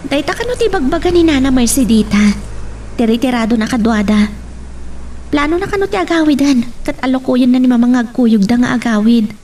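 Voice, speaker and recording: A woman as a high-voiced troubled young daughter. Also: expressive, brisk, warm tone, slight background noise. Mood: concerned. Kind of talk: radio drama acting.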